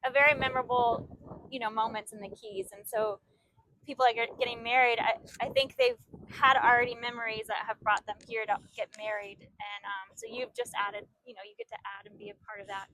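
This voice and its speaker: A woman's voice: a little high, a little fast, bright, forceful tone, low-quality recording. A woman hosting a podcast, asking encouraging questions of her guest. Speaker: podcast host. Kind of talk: podcast interview.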